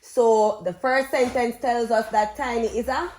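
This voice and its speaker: A woman's voice: fairly high pitch, fairly even intonation, measured pace, a little mellow, a little hiss or hum, poor audio. A woman with a Jamaican accent chatting bluntly and reading aloud to children like a parent vlogging at home, stopping to instruct and quiz them. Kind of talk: casual vlog chat and interactive read-aloud.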